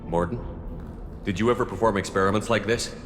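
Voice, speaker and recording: Over a clear music bed comes a man playing a principled military commander in a video game. He speaks quickly and directly, questioning and challenging while staying level-headed. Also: lowish voice, lightly acted. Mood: firm. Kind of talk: dramatic video game dialogue.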